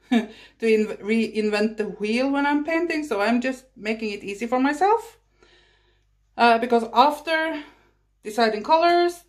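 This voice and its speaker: A woman with a Norwegian accent, a native Norwegian speaker, chatting casually through a watercolor painting demonstration while she paints, recorded on a phone, self-deprecating and laughing often. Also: a little high. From Norway. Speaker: watercolor artist. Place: indoors at a painting table.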